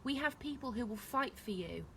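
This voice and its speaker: Storybook boy, played by a woman reader: earnest, urgent, reasoning, slightly high. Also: animated, normal speed, fairly bright tone, a little hiss or hum. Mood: urgent persuasion. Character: earnest, pleading boy Neil, urgent and persuasive.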